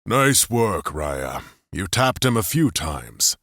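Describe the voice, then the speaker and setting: Man doing deep-voiced stoic armored giant, weary leader, grave measured words, slow, dry close sound. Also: sing-song, fairly bright tone, no room sound, exaggerated voice.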